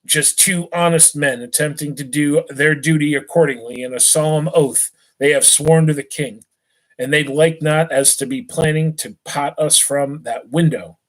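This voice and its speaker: Gruff suspicious redcoat sergeant, read by a guy, kinda high voice, muttery. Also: fairly even intonation, normal speed, close to an everyday voice, rough recording. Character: gruff male British army sergeant, distrustful.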